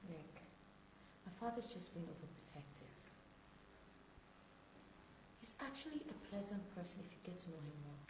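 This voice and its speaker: A placating grown daughter in a drama, talking quickly in a slightly low voice. She sounds conciliatory, recorded in an echoey room with clear background noise. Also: very animated. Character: placating grown daughter defending her overprotective father.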